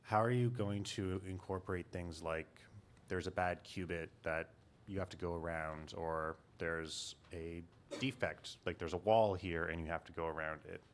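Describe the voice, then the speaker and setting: Man, very low voice, audience question, hesitant, distant. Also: steady tone, normal speed, a little hiss or hum. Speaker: audience member asking a question. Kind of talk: question from the floor.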